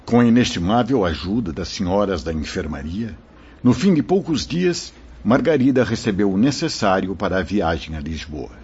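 An actor playing a solemn, benevolent spirit brother telling a life story in a radio drama, with a raspy voice. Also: male voice, fairly low pitch, a little mellow, full character voice. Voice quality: husky, raspy. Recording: dull lo-fi radio drama recording.

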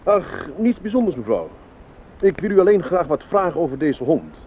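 An actor playing a courteous, probing private detective who asks questions persistently in a radio play. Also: male voice, a little high, very animated, normal speed, slightly rough. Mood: politely probing. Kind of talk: Dutch radio play acting. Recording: tinny, narrow-band noisy old radio recording.

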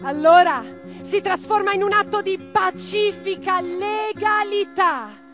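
A high-pitched woman revolutionary speaks in an intense, theatrical radio-play monologue. She declaims her letters and convictions with dramatic weight, over plucked guitar music. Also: slow pace. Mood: fiery, oratorical. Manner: declamatory, dramatic, swinging from hushed to forceful. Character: impassioned female socialist revolutionary, intense, reflective letter-writer.

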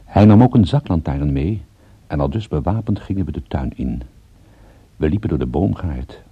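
Sardonic detective, husky gravelly voice, lively intonation, understated, radio play. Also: male voice, extremely low pitch, moderate pace, no echo, lo-fi sound, heavily acted. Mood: dry narration. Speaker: lead character and narrator. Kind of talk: radio drama narration and dialogue.

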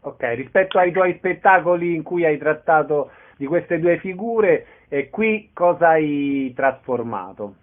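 A man's voice on a thin telephone line, slow-paced with lively swings in pitch. He is an interviewer, putting short, easygoing questions in a casual way. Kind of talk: casual radio-style phone interview. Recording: thin narrow-band telephone sound.

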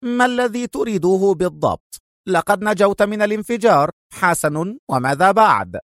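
A man voicing a haughty, hot-tempered British gentleman spy in an audio story, indignant, defiant and threatening. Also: high voice, expressive, moderate pace, no room sound, clean studio sound, voice pushed up. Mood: angry.

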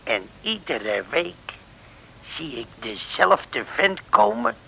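An actor playing a simple, chatty lighthouse keeper in an old comic radio serial, telling things in an earnest, folksy way. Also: male voice, a little high, big pitch swings, takes it slowly, creaky, pushed and bright, exaggerated voice. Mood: mysterious, earnest. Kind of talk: 1960s Dutch comic radio serial acting. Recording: hissy old radio recording.

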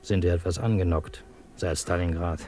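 Radio drama: cynical male spy handler played by actor, lowish mellow husky tone, wry and matter-of-fact. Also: fairly even intonation, normal speed, a little hiss or hum, close to an everyday voice. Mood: businesslike. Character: dry, cynical male intelligence contact, coolly businesslike. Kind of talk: German radio drama acting.

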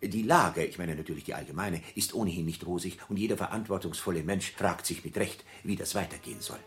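A man's voice: low voice, fast talker, soft background music. An actor playing an earnest, fussily proper tradesman who rambles officiously and justifies himself, in an absurdist radio drama. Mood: earnest, pleading. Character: earnest, long-winded tradesman job petitioner, fussily proper.

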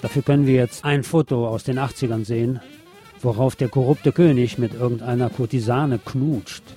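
A man's voice: medium pitch, steady tone, normal speed, music in the background. A man presenting a scripted monologue as host of a radio show.

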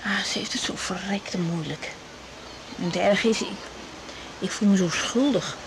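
A woman playing an earnest, caring young doctor in a radio drama, speaking in a hesitant, halting way. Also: mid-range voice, lightly acted. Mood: troubled, guilty. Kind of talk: 1980s Dutch radio drama acting. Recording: noisy 1980s radio recording.

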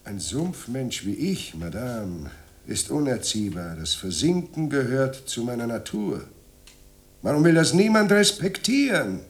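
A man's voice: medium pitch, very animated, measured pace. A man in a German radio drama playing an ageing drunken writer, bitter and self-mocking, theatrical, moving between muttering and outbursts. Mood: sardonic, gloomy.

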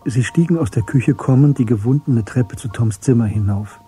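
A man narrating a scripted radio drama in a measured, detached storytelling manner. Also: medium pitch, dark tone, husky, soft background music.

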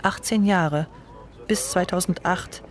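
A woman's voice: on the low side, slow pace, faint music behind. Woman narrating a radio feature. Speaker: documentary narrator.

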